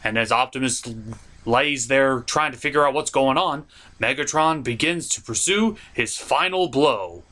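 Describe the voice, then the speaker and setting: A man's voice: mid-range voice, normal speed, sharp tone. A man hosting a cartoon review show, delivering scripted comedic narration in a theatrical, jokey, emphatic way.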